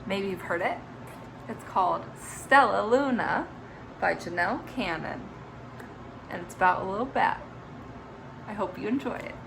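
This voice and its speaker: A woman teacher reading a children's picture book aloud, expressive, with chatty asides to young listeners. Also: mid-range voice, measured pace, gravelly, long pauses, noisy background.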